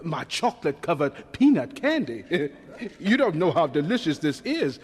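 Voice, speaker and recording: An actor playing an elderly, folksy, slyly humorous scientist who fusses and hesitates in a radio drama. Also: male voice, on the high side, very animated, normal speed, slightly rough. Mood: fussing, amused. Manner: hesitant, fussing, with muttered asides. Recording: reverberant hall.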